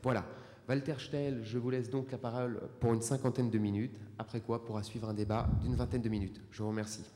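A man's voice: medium pitch, little pitch movement, fairly quick, mellow, a little hiss or hum. A man acting as moderator reads a formal introduction of the guest speaker, with some hesitations. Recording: long reverb of a lecture hall.

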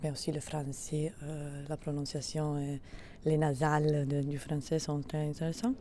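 A young language student from Italy speaks French with an Italian accent, searching for words. Her voice is very low and mellow. A faint ambient hum sits behind her. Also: flat delivery, normal speed.

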